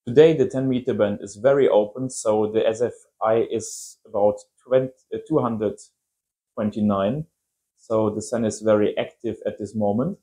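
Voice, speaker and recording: Mellow-voiced German-accented man. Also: on the low side, moderate pace. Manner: simple non-native English phrasing. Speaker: amateur radio vlogger. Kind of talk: casual explainer to viewers.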